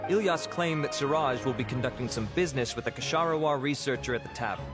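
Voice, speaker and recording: Male actor as polite game investigator, mid-pitched, procedural and businesslike. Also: fast, over music, natural voice.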